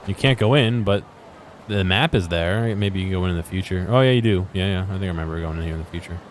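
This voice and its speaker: A man's voice: sits low, fast talker, a little hiss or hum. A man giving casual commentary while exploring a video game, musing and muttering to himself.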